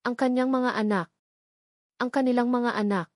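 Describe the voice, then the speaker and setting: A woman's voice: on the high side, steady tone, moderate pace, no room sound, clean studio sound. A woman reading a scripted dramatic story as narrator.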